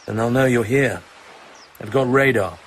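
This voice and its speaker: An actor playing a cool, self-assured English secret agent in a radio drama, probing and protective, with clipped, understated delivery. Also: male voice, medium pitch, moderate pace, slight background noise, lightly acted.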